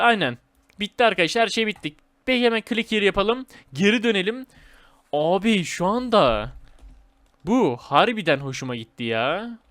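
A man hosting a gaming video with casual, excited commentary, speaking in slangy, repetitive phrases and addressing viewers as friends. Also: sits high, clean tone, no echo.

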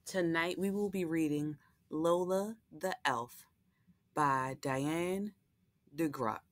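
A woman reads a picture book to children in a low, slow voice. She drops in folksy asides straight to her listeners. The sound is close and dry. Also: dry recording. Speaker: storyteller reading a children's book aloud. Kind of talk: read-aloud with casual asides to young listeners.

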